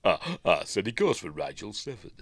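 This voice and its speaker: A man's voice: low voice, big pitch swings, moderate pace, no echo. A man with a Yorkshire accent, sniffly from a stuffy nose, hosting a live news stream in a rambling, chatty way full of mumbled asides. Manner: chatty, self-interrupting asides and mumbled afterthoughts.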